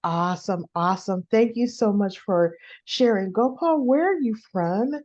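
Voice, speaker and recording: A woman's voice: mid-range voice, expressive, unhurried, a little mellow, lo-fi sound. Upbeat woman hosting a podcast. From California. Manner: upbeat, laughs easily, says like often. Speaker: podcast host, career coach. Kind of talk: casual interview hosting.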